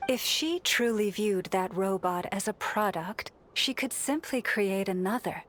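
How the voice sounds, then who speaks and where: Serene game priestess, medium pitch, formal, poetic, compassionate, faint background noise. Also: female voice, lively intonation, unhurried, a bit bright. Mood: solemn, reproving. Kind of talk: video game character dialogue.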